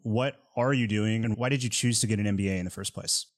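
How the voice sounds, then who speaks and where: A man hosting a podcast interview, relaxed and joking, with plenty of fillers. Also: on the low side, fast talker. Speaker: podcast host.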